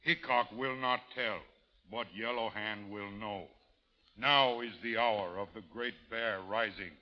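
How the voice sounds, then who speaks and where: Proud war chief played by a male actor, slow halting broken English, vintage radio drama, muffled and heavily reverberant. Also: lowish voice, steady tone, natural voice. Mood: menacing, demanding. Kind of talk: 1930s radio drama acting. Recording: muffled old radio recording, long reverb.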